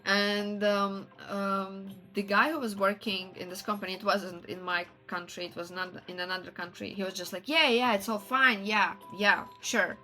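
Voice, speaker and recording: A woman livestreamer with a non-native English accent, chatting casually and haltingly as she searches for words. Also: medium pitch, steady tone, sharp tone, faint music behind. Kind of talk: casual chat stream.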